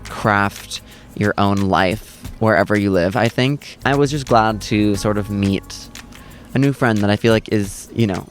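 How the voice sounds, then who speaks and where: A young man vlogging in a soft, chatty, reflective way, talking outdoors in a city, says "like" a lot. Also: fairly low pitch, measured pace, soft background music. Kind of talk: casual chat and vlog talk to camera.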